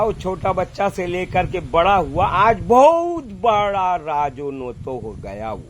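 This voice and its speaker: A man's voice: sits high, slight background noise. An Indian-accented man delivering an impassioned, unscripted monologue, scolding and sarcastic, with colloquial phrasing, repetition and rhetorical questions. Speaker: vlogger giving political commentary.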